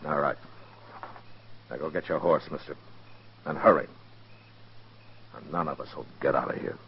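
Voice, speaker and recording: Very deep-voiced, stern frontier marshal. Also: male voice, moderate pace, creaky. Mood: commanding. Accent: American. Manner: terse, commanding, unyielding. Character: stern, hard-nosed male frontier U.S. Marshal. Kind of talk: 1950s radio western acting. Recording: dull, muffled 1950s radio recording.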